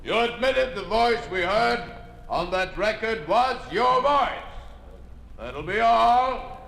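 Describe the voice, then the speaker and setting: Commanding official, 1950s radio drama, measured and creaky, formal, reverberant hall with faint hiss. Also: male voice, high voice, animated, a bit bright, heavily acted. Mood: stern. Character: stern male prosecutor presiding over a political assembly. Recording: echoey hall, faintly noisy old radio recording.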